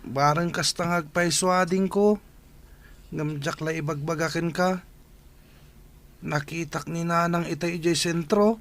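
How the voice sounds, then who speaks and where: A man's voice: a little high, steady tone, measured pace, long pauses, fairly bright tone. A man playing a troubled, suspicious youth, a protective older brother in a radio drama, speaking earnestly and urgently. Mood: worried, suspicious.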